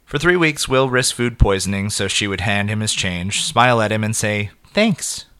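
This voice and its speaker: A man narrating a scripted short story in an expressive, measured way with clipped phrases. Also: fairly low pitch, smooth.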